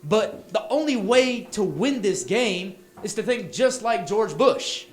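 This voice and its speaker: A man's voice: high-pitched, animated, slow pace. Punchy Texan man in an echoey bar. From Texas, USA. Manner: loud, punchy, stumbling restarts. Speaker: stand-up comic. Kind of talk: stand-up set. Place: small bar venue.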